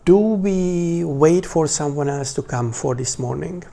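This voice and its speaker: Hungarian guy in his 40s chatting to a little class, slow and halting, soft mellow tone. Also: a little high, gravelly. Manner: hesitant, halting delivery with pauses. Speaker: religious teacher. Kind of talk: informal talk to a small class.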